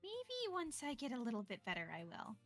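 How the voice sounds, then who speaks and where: A woman playing a cheerful, confident high school club president in a visual novel game. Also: huge pitch swings, a little fast, a bit bright, faint music behind, full character voice. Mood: sweet, friendly.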